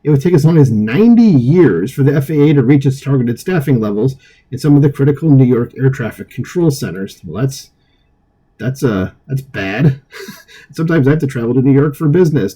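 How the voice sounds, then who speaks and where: A man's voice: mid-range voice, expressive, fairly quick, warm tone, breathy edge. A man reading a news article aloud at home and breaking in with sarcastic comments, stumbling over words now and then. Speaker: political commentary host.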